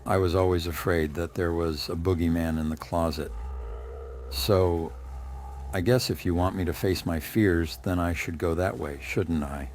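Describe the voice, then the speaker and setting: A man's voice: deep voice, fairly even intonation, unhurried, darkish tone, slight background noise. A man playing a confused, frightened but defiant dead man who questions and mutters to himself in a horror audio drama. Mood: uncertain, musing.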